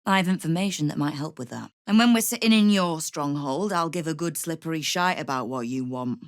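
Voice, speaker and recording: A woman's voice: deep voice, a little fast, no echo. An actress playing a shrewd, hard-edged female crime guild boss in a video game, with a dry, wry manner and veiled threats. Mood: sardonic. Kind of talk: scripted video game voice acting.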